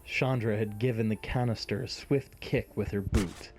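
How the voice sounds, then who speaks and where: A man's voice: lowish voice, fairly even intonation, moderate pace, darkish tone, faint music behind. A man narrating a scripted fantasy story in an audio drama.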